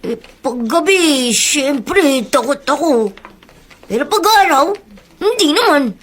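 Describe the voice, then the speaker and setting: A woman's voice: high voice, lively intonation, slow. A woman with a Filipino accent playing a cheeky, tender-hearted young street boy in a radio drama, speaking in an earnest, childlike way. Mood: curious.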